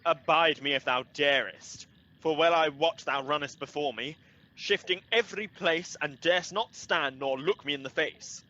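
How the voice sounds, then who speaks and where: A man's voice: fairly high pitch, normal speed, sharp tone, muffled recording. A man acting a hot-headed young lover in a Shakespearean radio play, throwing out declamatory challenges to a rival. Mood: angry.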